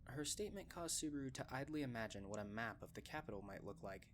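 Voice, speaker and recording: A man's voice: medium pitch, lively intonation, fast talker, a bit bright. Male story narrator over faint ambient noise. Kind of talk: scripted audiobook-style story narration.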